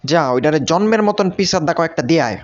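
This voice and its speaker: A man's voice: fairly high pitch, lively intonation, fast talker, close to an everyday voice. A man with a Bangladeshi accent voicing a hot-tempered, threatening male cat in a comic cartoon dub. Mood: furious. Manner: blustering, threatening. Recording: muffled lo-fi audio with clear noise.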